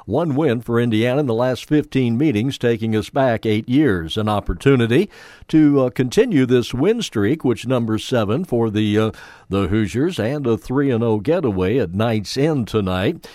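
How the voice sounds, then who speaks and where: A man's voice: medium pitch, lively intonation, moderate pace, mellow, no echo, studio quality. Man in his sixties doing a radio sports report. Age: 60s. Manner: conversational, with small hesitations.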